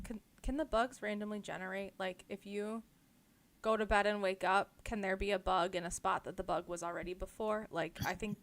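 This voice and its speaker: American woman co-hosting a podcast, medium pitch, emphatic, super casual talk. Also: fairly bright tone. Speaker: book club podcast co-host. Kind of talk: casual chat.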